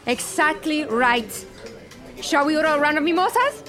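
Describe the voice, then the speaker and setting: A woman's voice: sits high, animated, normal speed, music in the background. A woman playing a grand, imperious, theatrical producer in an audio fiction drama. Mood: grand, delighted.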